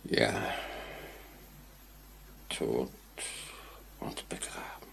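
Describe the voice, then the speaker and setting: An actor playing an unflappable, quietly menacing lift operator in a vintage radio crime play, terse and deadpan. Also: male voice, very slow, a little hiss or hum.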